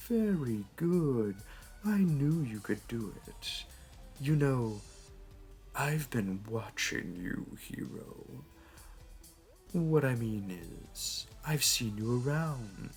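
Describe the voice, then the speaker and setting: A man playing a suave, flirty supervillain in an audio roleplay, with a teasing, purring, theatrical delivery. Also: mid-range voice. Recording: music under the voice. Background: music.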